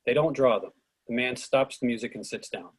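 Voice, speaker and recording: Husky man reading stage directions. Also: medium pitch, a little fast. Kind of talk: scripted narration of stage directions.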